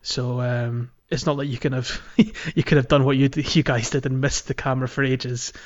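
A man's voice: mid-range voice, fairly even intonation, normal speed, highs cut off. A man hosting a casual video game podcast, chatting informally and explaining things. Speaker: podcast host.

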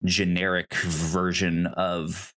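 A man's voice: sits low, level tone, fairly bright tone. A man hosting a podcast in a casual discussion, speaking hesitantly and often stammering over his words. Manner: hesitant, stammering, halting delivery. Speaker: podcast host.

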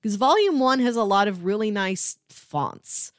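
A woman's voice: medium pitch, expressive, unhurried, dry recording, studio quality. A woman co-hosting a podcast, chatting casually about books and acting out bits as she goes. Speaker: podcast co-host discussing comics. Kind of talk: casual chat, book review.

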